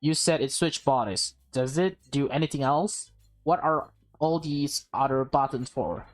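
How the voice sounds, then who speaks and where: A woman's voice: rumbling low, unhurried, pitched below the performer's own voice. Woman acting startled, suspicious woman, game dialogue. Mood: suspicious curiosity. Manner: alarmed, questioning, stammering. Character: startled, suspicious adult woman in a swapped body. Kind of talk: visual novel read aloud in character. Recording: game music behind the voice.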